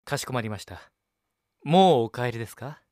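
Courteous, lively-toned young waiter played by a man. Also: mid-range voice, moderate pace, dry recording, clean studio sound, natural voice. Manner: polite, deferential customer-service speech. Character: courteous, attentive young male café waiter. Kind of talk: Japanese audio drama acting.

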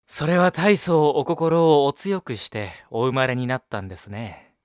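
A man's voice: mid-range voice, animated, moderate pace, smooth, no echo, lightly acted. Male actor as a polite, mild young man, dry sound. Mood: politely curious. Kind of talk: Japanese audio drama acting.

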